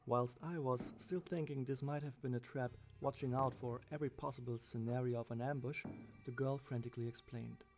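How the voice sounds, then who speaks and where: A man reading a scripted first-person horror story as its narrator, with a gravelly voice. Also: mid-range voice, steady tone, fairly quick, darkish tone, faint music behind.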